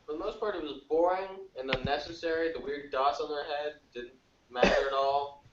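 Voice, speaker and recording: A man with a slightly raised pitch gives blunt, dismissive verdicts in casual review chat. He sounds well away from the mic in an echoey room. The recording is dull. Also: fairly even intonation, a little fast. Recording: roomy, distant, dull, noisy audio.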